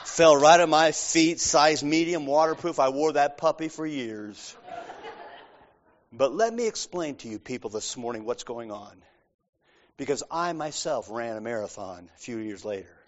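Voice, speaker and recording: A middle-aged man preaching a sermon, telling a story with acted-out voices and big swings between loud and soft. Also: mid-range voice, animated, measured pace. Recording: dull lo-fi sound.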